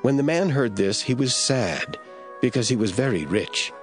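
A man narrating scripture from a script in a measured, formal storytelling manner. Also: medium pitch, lively intonation, soft background music.

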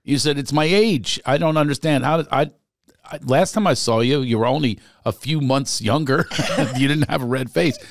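A man's voice: mid-range voice, no echo. Middle-aged man, podcast host, jokey banter, self-mocking, dry close studio mic. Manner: joking, rapid banter with self-mocking asides. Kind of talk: casual comedic chat. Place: small studio with foam panels. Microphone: studio mic on boom arm, headphones.

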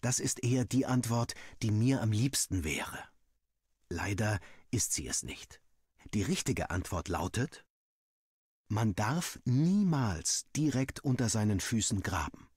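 A man's voice: fairly low pitch, expressive, measured pace, a little mellow, slightly rough, no echo, clean studio sound. A man playing a young student villager who narrates his diary in a wry, dramatic, self-mocking way, as a scripted game story. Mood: joking, embarrassed.

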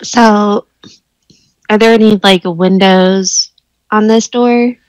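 A woman's voice: mid-range voice, fairly even intonation, slow pace, no echo. A woman playing a tabletop game, asking curious questions about the scene, heard through a dull lo-fi mic. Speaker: player.

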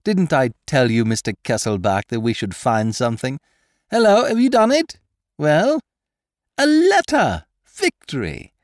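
A cocky gentleman thief, as a male narrator puts him on, brisk and mocking, pitched well above the reader's own voice and thrown loud with lively swoops. The storybook scheme is gleeful and taunting. The sound is close and dry. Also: no room sound. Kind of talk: audiobook character voice.

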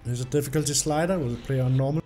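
A young man, slightly hoarse from illness, commenting on a game and reading its story text aloud. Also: medium pitch, fast talker, over music. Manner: reads the story text aloud in an even narrating tone. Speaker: gameplay video host. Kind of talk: casual gameplay commentary.

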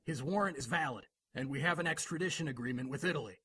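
A man's voice: medium pitch, quick pace, bright, forceful tone, close to an everyday voice. Actor as suspicious male cop in radio drama; official, blunt, noticeable noise behind him. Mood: blunt, irritated. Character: gruff, suspicious male police lieutenant. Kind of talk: audio drama acting.

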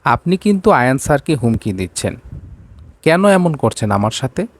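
A man's voice: mid-range voice, sing-song, moderate pace. A man voicing a desperate, indignant young woman in a storybook romance, pleading and reproachful.

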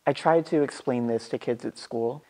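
A young man performing a personal monologue with earnest, measured storytelling. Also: mid-range voice, warm tone, slight background noise, poor audio. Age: young adult. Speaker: student storyteller. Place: empty seating room indoors.

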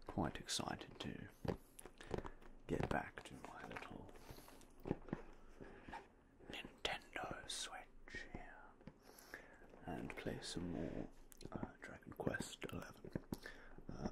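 Young guy whispering super close on a binaural mic, really deep and gravelly, slow and polite like a shop clerk. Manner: soft, polite, unhurried shopkeeper talk with fillers. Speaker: ASMR roleplay host as game store clerk. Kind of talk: ASMR roleplay. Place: indoors in front of a game store backdrop. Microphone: binaural mic close to the face.

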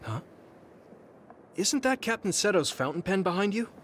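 An actor playing an earnest, polite, slightly awkward young soldier in an anime-style video game, speaking hesitantly. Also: male voice, high voice, sing-song, moderate pace, a bit bright, slight background noise. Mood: puzzled, insistent.

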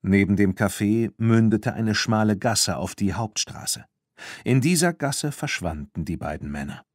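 A man narrating a children's story from a script in an expressive, animated way. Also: on the low side, unhurried, no room sound, clean studio sound. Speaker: audiobook narrator.

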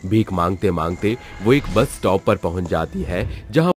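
A man's voice: low-pitched, lively intonation, normal speed. A man giving scripted narration as the storyteller of a horror tale.

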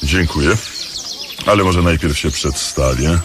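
A man's voice: rumbling low, a little slow, noisy background. A man acting in a radio play as a bankrupt industrialist who poses as a whining beggar, switching between polite speech and folksy wailing. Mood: polite, resigned. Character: bankrupt male industrialist playing a loud, whining deaf beggar.

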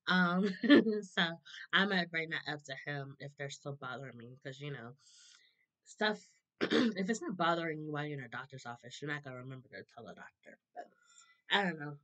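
A woman in her thirties with a hoarse, sore throat, chatting casually to a webcam, laughing often and clearing her throat. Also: low voice, fairly bright tone, rough recording. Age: 30s. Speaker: vlogger. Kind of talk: casual chat to camera. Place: small room indoors.

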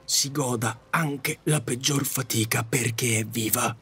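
A man's voice: medium pitch, measured pace, bright, forceful tone, husky, full character voice. A man acting an earnest, polite and kindly taxi driver in an audio drama. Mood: earnest, pleading.

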